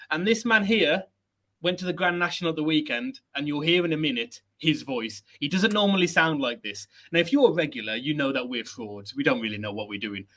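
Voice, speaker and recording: A man hosting a football chat show, chatty, energetic and self-deprecating, speaking without a headset at a laptop setup. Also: a little high. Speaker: host.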